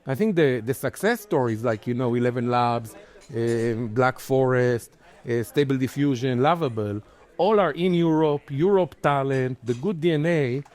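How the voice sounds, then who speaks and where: A man with an Israeli accent, speaking as a venture investor on a conference panel, animated, with many "like" and "you know" restarts. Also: mid-range voice, moderate pace. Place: conference stage.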